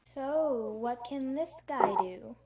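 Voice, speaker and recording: A woman's voice: a little high, slow pace, crowd noise. A woman playing a sassy, feisty young girl in an amateur cartoon table read. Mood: sarcastic. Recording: distant mic, voices in background.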